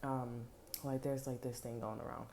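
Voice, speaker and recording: Very low-voiced woman, soft and rambling. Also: flat delivery, normal speed, gravelly, noisy background. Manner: rambling, many fillers and restarts, soft and low. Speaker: tarot reader. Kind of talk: casual spiritual talk to viewers. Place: home at night. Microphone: headphones with mic.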